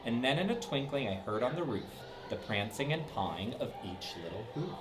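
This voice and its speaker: Lively man reading a story to kids. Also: medium pitch, moderate pace, music in the background, poor audio. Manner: lively, sing-song, with dramatic swoops. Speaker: storybook reader for children. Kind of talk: reading a rhyming story aloud.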